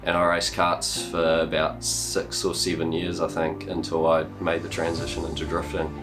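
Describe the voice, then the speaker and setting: A man's voice: deep voice, completely flat delivery, moderate pace. An eighteen-year-old man, plainly telling how he got into racing for a documentary interview. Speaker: drift driver interviewee. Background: guitar music.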